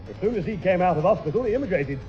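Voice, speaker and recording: An actor playing a tough, threatening big brother, blunt and sarcastic, in a 1960s radio sitcom. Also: male voice, sits high, expressive, racing, muffled recording, faint music behind. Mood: menacing. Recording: band-limited old radio recording.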